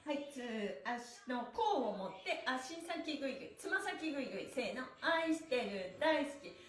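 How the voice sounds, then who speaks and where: A woman's voice: high-pitched, very animated, normal speed. A middle-aged woman leading a stretching session for viewers in an upbeat, chatty, polite and exclamatory manner. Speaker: stretching instructor and live-stream host. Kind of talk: cheerful exercise instruction to viewers. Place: small indoor room with bare walls. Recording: roomy, echoey small-room sound.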